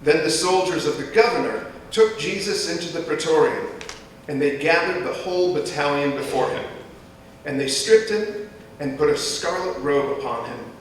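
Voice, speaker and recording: A man reading scripture aloud as a narrator in a dramatic church reading. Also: moderate pace. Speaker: scripture reader. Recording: echoey church hall, distant mic, faint rumbling noise.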